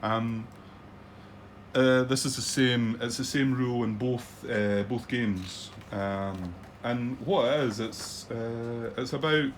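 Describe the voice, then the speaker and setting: A man's voice: mid-range voice, slow pace, a little hiss or hum. A middle-aged man with a Scottish accent hosts casual commentary on a solo board wargame from his home desk, rambling and hesitant with lots of ums and restarts. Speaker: board wargame channel host.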